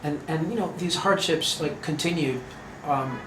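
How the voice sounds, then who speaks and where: A man's voice: on the high side, normal speed. A man, a guest in a casual interview, talking hesitantly with many ums and restarts. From United States. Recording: echoey room with clear background noise.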